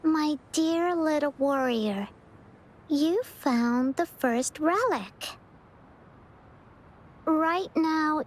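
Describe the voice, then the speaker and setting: Game role: adventurous little girl, very high voice, unhurried, earnest, theatrical storyteller. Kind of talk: video game voice acting, storybook narration.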